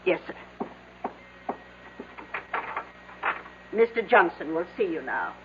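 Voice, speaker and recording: An actress playing an anxious, deferential secretary in an old-time radio drama, hesitant and polite. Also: female voice, fairly low pitch, sing-song, brisk. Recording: tinny, noisy vintage radio recording.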